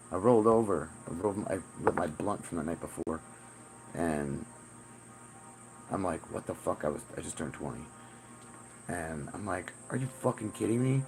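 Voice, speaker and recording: A man's voice: sits low, low-quality recording. A man in his forties talking in a rambling, profane monologue, often repeating himself. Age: 40s. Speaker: self-styled spiritual teacher.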